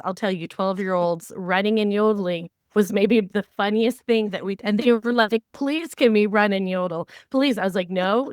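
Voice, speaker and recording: A woman hosting a podcast, talking conversationally and explaining with animated examples. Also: on the low side, no room sound, studio quality. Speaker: podcast host. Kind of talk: conversational training discussion.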